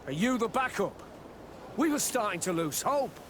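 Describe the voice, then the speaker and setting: A man's voice: high-pitched, big pitch swings, normal speed, background noise. A man voicing a dutiful, worried military officer of a besieged outpost in a video game, earnest in manner. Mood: anxious, urgent. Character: worried male outpost officer, dutiful soldier under siege. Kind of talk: video game cutscene acting.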